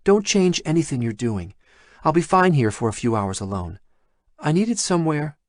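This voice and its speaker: A man putting on a frightened young woman in an audiobook, shy and tense. She speaks in a raised, halting, pleading voice that swings up and down. The sound is close and dry. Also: a little high, very animated, no room sound, pitched above the performer's own voice. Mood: anxious pleading.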